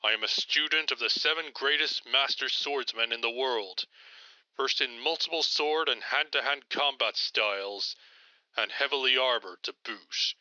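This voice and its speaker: A man's voice: mid-range voice, normal speed, bright, forceful tone, dry recording, highs cut off. A man playing an earnest, chivalrous armored superhero swordsman in an audio roleplay, speaking in formal, archaic, self-assured phrases. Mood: commanding, proclaiming. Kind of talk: scripted audio roleplay acting.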